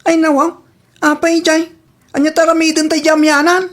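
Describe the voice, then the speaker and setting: Give the comedy a woman playing a reluctant working buddy who grumbles to his friend. The voice is high, with an Ilocano accent. It is acted as radio drama. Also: level tone, moderate pace, close to an everyday voice. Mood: reluctant, grumbling.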